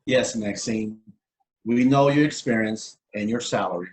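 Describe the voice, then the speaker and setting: A man playing a stern, officious railroad depot boss in a play reading, speaking in a measured, reproving way. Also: lowish voice, close to an everyday voice, rough recording. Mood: weary, curt. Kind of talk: one-act play table read. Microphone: video call.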